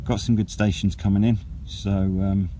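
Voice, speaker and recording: A man's voice: fairly low pitch, little pitch movement, normal speed, warm tone, a little hiss or hum. A man narrating a casual vlog in a hesitant, unscripted way. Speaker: vlogger and CB radio operator.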